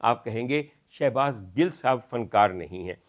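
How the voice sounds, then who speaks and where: Middle-aged man, Pakistani accent, mid-pitched voice, earnest, admonishing, formal wording, vlog commentary. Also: lively intonation, normal speed. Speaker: commentary vlogger. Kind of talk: opinion commentary addressed to camera.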